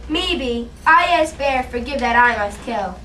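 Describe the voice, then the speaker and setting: A woman's voice: a little high, animated, a little slow, bright, forceful tone, roomy sound, distant mic, slight background noise. A woman voicing a terse young Native boy who speaks clipped broken English. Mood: solemn.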